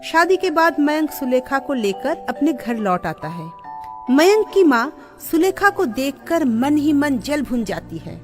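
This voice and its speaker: Woman narrator, scripted storytelling, expressive, high voice, clear background music. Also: measured pace.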